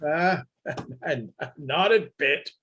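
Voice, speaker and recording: Sheepish unmasked detective played by a man, high rough voice, slow and halting delivery. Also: animated, crowd noise, full character voice. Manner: halting, flustered. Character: sheepish detective unmasked as fake Polish anarchist. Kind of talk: radio play read aloud.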